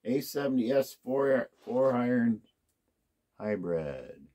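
A man's voice: medium pitch, lively intonation, takes it slowly, dark tone. A man casually talking through an unboxing of used golf clubs, musing aloud to himself as he inspects each one. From United States. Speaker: golf club unboxing host.

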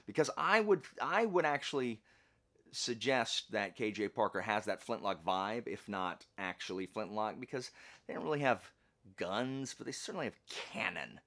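A man hosting a book channel, chatting casually and emphatically in colloquial speech with self-deprecating humour. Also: medium pitch. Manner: animated, emphatic. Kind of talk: casual chatty vlog talk about books.

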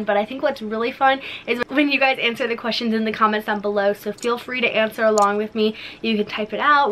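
A woman's voice: a little high, a bit bright. Young woman, Midwest-raised, YouTuber, bubbly, chatty, home office, faint room hum. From Ohio, US Midwest. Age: young adult. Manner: bubbly, upbeat, lots of "like" and "so much fun". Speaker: lifestyle YouTuber. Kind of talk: chatty sit-down tag video.

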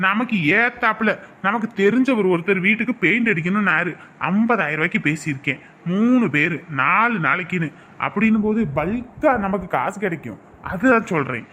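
A man's voice: sits high, animated, a little fast, pushed and bright. An actor playing a scheming, aggrieved male house painter, talking in speech in drama dialogue. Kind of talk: film or serial drama dialogue. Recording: dull lo-fi sound, faint noise.

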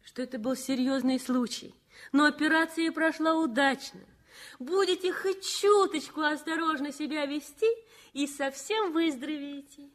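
A woman playing a gentle young nurse in love. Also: high-pitched, moderate pace, close to an everyday voice. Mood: reassuring. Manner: gentle, warm, playful. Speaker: Alena. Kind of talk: 1960s radio play acting.

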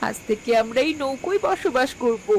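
Woman as high-voiced, earnest young village daughter. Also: lively intonation, a little fast, background noise. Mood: earnest resolve. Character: poor, determined young village daughter, protective and proud. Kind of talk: Bengali cartoon fairy-tale acting.

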